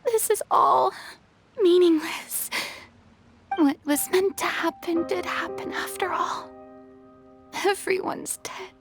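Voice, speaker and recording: A woman voicing a proud yet fragile young goddess in an English game dub, theatrical and halting in delivery. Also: very high-pitched, music in the background, full character voice. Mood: despairing, tearful. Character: dramatic, proud young female god hiding fragile despair.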